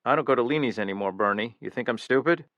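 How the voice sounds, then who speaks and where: A man's voice: medium pitch, quick pace, dry recording, muffled recording, lightly acted. Man doing a menacing, bitter killer. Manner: threatening, rising to angry outbursts.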